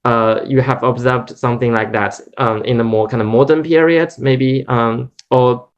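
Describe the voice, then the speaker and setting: A man's voice: mid-range voice, steady tone, normal speed, smooth. A man whose first language is Chinese, asking a long, hesitant academic question at a lecture, full of restarts. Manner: hesitant, many restarts and fillers. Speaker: audience member asking questions. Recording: dull lo-fi recording.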